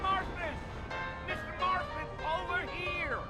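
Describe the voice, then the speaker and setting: An actor playing a talkative, folksy Old West guide in a video game, with an American Southern and Western drawl. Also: male voice, very high voice, steady tone, slow, over loud music. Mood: calling out. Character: chatty, folksy Old West ranch hand guide, man. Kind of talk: video game cutscene acting.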